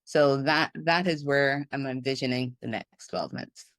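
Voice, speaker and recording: Guest consultant, woman from Barbados, animated and laughing, dry close-miked sound. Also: a bass voice, measured pace, dry recording. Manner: animated, laughs often, acts out her own inner thoughts. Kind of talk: casual interview talk.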